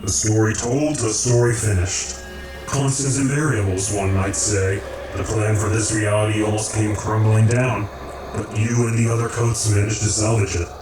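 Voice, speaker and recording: A man in a radio drama playing a calm, commanding creator of realities who speaks in measured, formal, cryptic phrases. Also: on the low side, natural voice. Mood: cryptic, grave. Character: calm, commanding cosmic creator, male, cryptic philosophical overseer. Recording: roomy echo, distant, music underneath.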